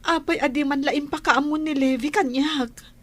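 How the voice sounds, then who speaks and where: A woman's voice: sits high, moderate pace, no echo, lightly acted. A woman playing an anxious, devoted young wife, earnest and pleading, in a radio drama.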